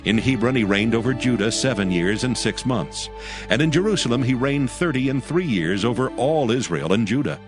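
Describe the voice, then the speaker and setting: A man narrating a dramatized scripture audiobook in a solemn, measured storytelling manner. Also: medium pitch, lively intonation, over music.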